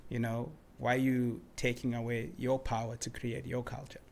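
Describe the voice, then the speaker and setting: Young adult man, mid-pitched, reflective and easygoing interview guest. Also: moderate pace, gravelly, slight background noise. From Democratic Republic of the Congo, raised in Cape Town. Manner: relaxed and reflective, often adds "man" and "you know". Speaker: guest artist. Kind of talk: casual interview conversation.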